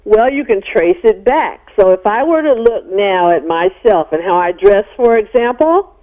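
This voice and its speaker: A woman's voice: medium pitch, animated, normal speed. A middle-aged American woman explaining things as a psychologist guest in a radio interview. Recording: narrow-band phone-like radio sound.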